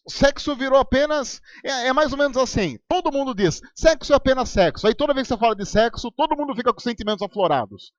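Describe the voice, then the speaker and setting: Animated Brazilian pastor, high lively voice. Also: male voice, fast talker, hardly any pauses, dry recording, muffled recording. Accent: Brazilian Portuguese. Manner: conversational preaching, with sarcastic asides and ironic voices. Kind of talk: sermon. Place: church hall.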